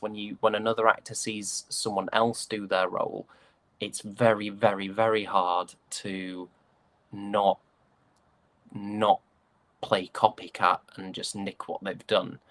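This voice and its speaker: A man's voice: lowish voice, gravelly, a bit bright, no room sound. A young British man answering interview questions conversationally, thoughtful and often hesitating as he searches for the right words. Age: young adult. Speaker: stage actor being interviewed.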